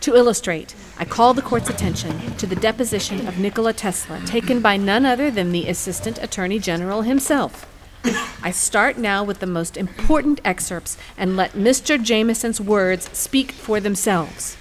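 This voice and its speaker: A woman playing a composed attorney who addresses the court formally and deliberately in a courtroom audio drama. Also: medium pitch, noisy background, natural voice. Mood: formal, assured.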